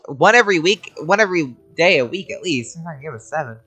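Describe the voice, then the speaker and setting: A woman's voice: low voice, lively intonation, fast talker, fairly bright tone, husky. A woman giving casual, sweary reaction commentary while playing a video game. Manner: informal, sweary, reacts aloud. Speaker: game streamer. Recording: game music behind the voice.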